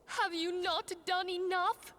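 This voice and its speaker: A woman voicing a gentle, dignified high priestess in a fantasy game, composed, earnest and formal. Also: steady tone, slow, background noise. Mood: distressed. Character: gentle, dignified high priestess, brave leader of a secret order. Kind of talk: scripted fantasy game dialogue. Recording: game music behind the voice. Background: orchestral game music.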